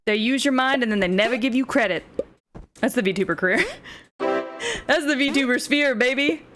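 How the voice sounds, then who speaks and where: A young woman with a deep, smoky voice, chatting and cursing casually while streaming a game. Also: lively intonation, normal speed. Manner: crude, swears, laughs, sings along. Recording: faint music behind.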